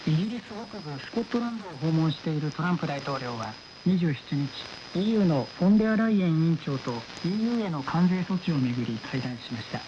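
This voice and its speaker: A man's voice: fairly high pitch, lively intonation, very fast, hardly any pauses. A man presenting scripted news on the radio, received over shortwave. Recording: muffled, noisy shortwave radio.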